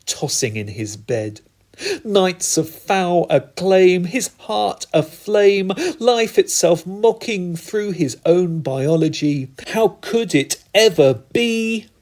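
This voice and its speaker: Man, spoken-word poet, unhurried, theatrical rhyming narration, deliberate phrasing, emphatic repeats. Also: on the high side, a little mellow. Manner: deliberate, dramatic pauses, emphatic repetition.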